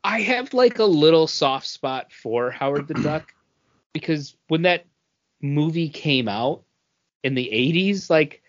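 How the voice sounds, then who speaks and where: A man's voice: a little high, animated. A man in his forties co-hosting a podcast, talking casually with plenty of um and uh fillers. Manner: unhurried, many um and uh fillers. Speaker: podcast co-host. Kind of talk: casual chat. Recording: slightly dull lo-fi audio.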